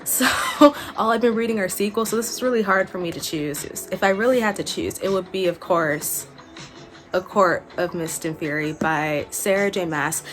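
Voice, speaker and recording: A young woman talks casually to her viewers in a mid-range voice. Her delivery is chatty and informal, rambling along as in a homemade book vlog. She is recorded indoors in a small room. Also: mid-range voice. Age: young adult. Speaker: book vlogger.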